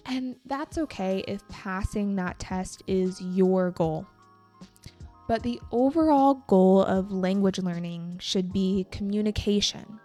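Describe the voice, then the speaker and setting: A young woman hosting an English-learning podcast, talking calmly and encouragingly as a teacher. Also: medium pitch. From United States. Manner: calm, encouraging, slow and deliberate. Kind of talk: scripted educational podcast talk.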